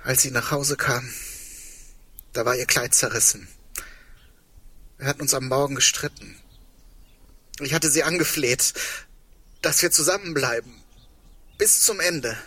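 Scared grieving guy in a german radio play, raspy strained voice, very dry sound, halting and subdued. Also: medium pitch, pushed and bright, no echo, heavily acted. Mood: grieving, hurt. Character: frightened, grieving man waiting for the end.

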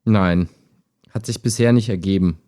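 A man playing a calm, helpful, lonely chat partner in an audio drama, with a measured, earnest delivery. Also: low voice, lightly acted. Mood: concerned, wistful. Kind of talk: amateur audio drama dialogue, read chat.

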